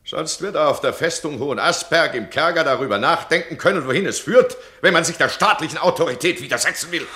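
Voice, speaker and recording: An actor playing a domineering duke who scolds his subject in a radio play, his voice swinging between cold and loud. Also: fairly high pitch, quick pace. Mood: imperious. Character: domineering stern duke, absolute ruler. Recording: roomy radio drama studio.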